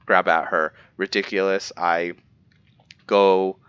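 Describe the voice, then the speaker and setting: A man voicing a brooding male vampire assassin who reads out his journal in a measured way, sometimes stumbling over words. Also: deep voice, little pitch movement, fairly bright tone, clear, resonant tone, no room sound, muffled recording. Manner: measured, stumbles now and then over words while reading. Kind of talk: reading in-character journal entries for a game roleplay.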